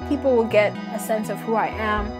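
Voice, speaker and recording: A woman's voice: mid-range voice, brisk. A young woman from Malawi, a poet, reading her work with passion and talking candidly in an interview. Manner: impassioned in reading, relaxed and candid in talk. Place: indoor room. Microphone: lapel mic. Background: background music.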